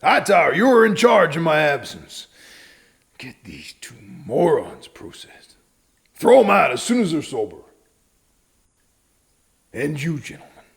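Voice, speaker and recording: An actor playing a stern but fatherly, world-weary sheriff in a western audio drama, with an American Southern drawl and a deliberate, folksy manner. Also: vocal fry, full character voice. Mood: commanding, dry. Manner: deliberate, folksy, swinging from hushed to booming.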